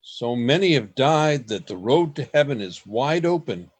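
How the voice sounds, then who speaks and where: A man's voice: medium pitch, very animated, measured pace. A man playing an older grandfather, shaky and earnest, in an amateur radio play. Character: shaky old grandfather, gentle and wondering.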